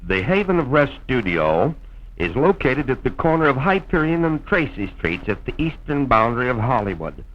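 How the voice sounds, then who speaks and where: A man's voice: mid-range voice, big pitch swings, normal speed. A man speaking as narrator and announcer in the formal, scripted manner of mid-century radio. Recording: dull, lo-fi old film soundtrack.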